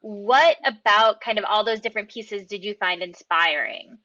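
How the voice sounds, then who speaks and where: A woman's voice: mid-range voice, normal speed, bright, forceful tone, no room sound, highs cut off. A woman hosting a casual interview as the interviewer. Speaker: host and interviewer.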